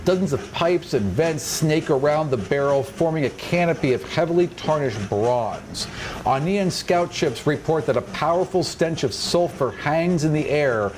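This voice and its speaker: A man's voice: on the high side, animated, normal speed, darkish tone, a little hiss or hum. A man narrating a tabletop fantasy game as its game master.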